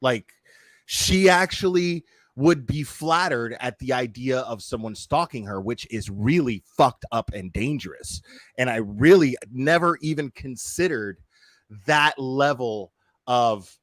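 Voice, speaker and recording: A man's voice: medium pitch, animated, unhurried, no echo. Man in dry, casual, informal commentary. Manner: profane, informal, emphatic repetition. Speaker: prank caller commenting on his own recorded troll.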